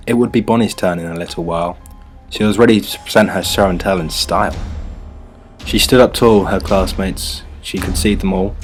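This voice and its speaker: A low-voiced man tells a children's tale in rhyme. His delivery is measured and scripted, like a storybook reading, with music clearly playing underneath. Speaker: narrator.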